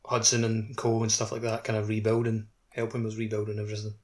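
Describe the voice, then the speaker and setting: A man's voice: on the low side, monotone, fast talker. A man co-hosting a podcast, chatting casually about a video game. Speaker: podcast co-host.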